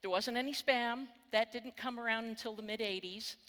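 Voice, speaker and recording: Texan woman, bright voice in a big hall. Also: fairly high pitch, fairly even intonation, normal speed. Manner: wry, candid, swears casually. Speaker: keynote speaker. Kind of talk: conference keynote talk. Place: conference hall on stage. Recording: long reverb of a large hall.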